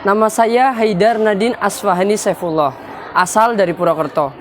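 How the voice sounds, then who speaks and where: A woman's voice: mid-range voice, normal speed, a crowd in the background, noisy background. A young woman student giving an emphatic testimonial, raising her voice as she quotes a scholar. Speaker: madrasah student. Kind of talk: testimonial to camera.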